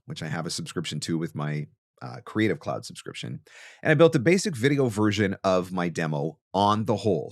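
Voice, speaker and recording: Deep-voiced man in a dry studio. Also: no echo. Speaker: podcast host and voice actor. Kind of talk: instructional podcast monologue.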